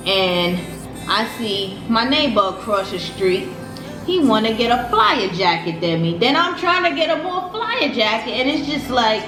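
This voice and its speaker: A woman's voice: medium pitch, echoey room. A woman, chatting casually in a story-time vlog, slangy and repeating her phrases. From Brooklyn, New York City. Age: 30s. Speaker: vlogger.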